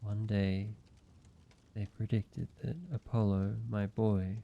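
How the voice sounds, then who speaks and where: A man plays an ancient, weary vampire in an intimate audio roleplay. The voice is low and very mellow, with long, slow, solemn phrasing that halts as it goes. The recording is dull, with some noise behind it. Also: little pitch movement. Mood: somber, regretful. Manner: measured, halting, solemn storytelling. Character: ancient male vampire, grave, weary, grieving father.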